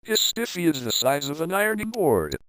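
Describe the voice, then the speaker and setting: A man puts on a crude, suspicious ogre husband. The delivery swings widely in pitch and sounds blustery, recorded dry and close. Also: sing-song, a little fast, a bit bright, no room sound.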